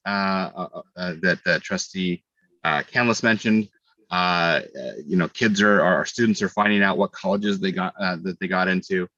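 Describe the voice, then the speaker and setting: A middle-aged man serving as a school board trustee, giving halting remarks with repeated words at a public meeting. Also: deep voice, sharp tone, no room sound, lo-fi sound.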